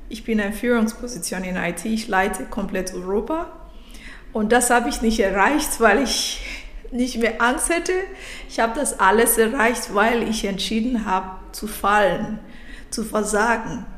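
A woman in her thirties, born and raised in Africa, speaks German as a learned language and tells her story slowly and thoughtfully, often breaking off and starting a sentence over. Her voice sits fairly high, and the room gives it a noticeable echo. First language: not German. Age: 30s. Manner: reflective, halting, restarts mid-sentence. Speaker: podcast guest. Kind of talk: personal story in interview.

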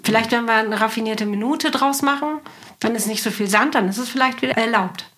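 A woman's voice: a little high, fairly quick, fairly bright tone. A woman hosting a podcast in a casual, playful, conversational way. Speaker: podcast host; writer. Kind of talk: casual interview chat.

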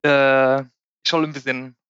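A man from Spain talks as a podcast guest in German with a clear Spanish accent and a regional dialect, choosing his words with care. His voice is bright and crisp. The sound is dry and a little muffled. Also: medium pitch, flat delivery, a little slow, no room sound. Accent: Spanish, with a Lower Franconian German dialect from the Aschaffenburg area. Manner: careful, searching for words, occasional grammar slips. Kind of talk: casual interview chat.

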